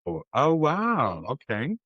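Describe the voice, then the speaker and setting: A man interviewing casually as a podcast host, supportive and agreeable, feeling his way through questions haltingly. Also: a little high, wildly swooping pitch, no echo, muffled recording. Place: remote video call.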